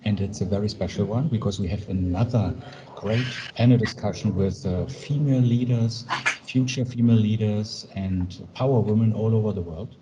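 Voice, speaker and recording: A man with a lowish voice moderates an online panel, pausing often with ums and uhs. His video-call audio carries a clear hiss of background noise. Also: muffled recording. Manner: hesitant, many fillers.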